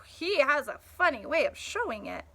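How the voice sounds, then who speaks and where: A woman's voice: high voice, sing-song, moderate pace, vocal fry, a bit bright, full character voice. A woman voicing a blunt, cynical male stray dog who narrates a storybook in a sardonic, punchy way. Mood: indignant. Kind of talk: storybook first-person narration.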